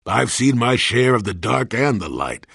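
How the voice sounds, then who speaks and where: A man playing a crotchety, wry old hermit Jedi in a video game, grumbling in a folksy, sardonic way with a gravelly, husky voice. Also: medium pitch, very animated, normal speed, fairly bright tone, dry recording, clean studio sound, heavily acted. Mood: crotchety, dismissive. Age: older. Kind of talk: video game character acting.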